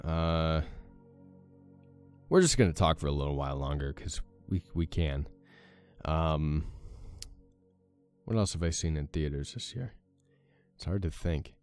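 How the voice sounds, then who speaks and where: A young man with a deep morning voice hosting a let's play, chatting casually with lots of fillers. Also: takes it slowly, long pauses, a little mellow, clean tone, soft background music. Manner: rambling, many fillers, self-deprecating. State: morning voice with a crack. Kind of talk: casual gameplay commentary.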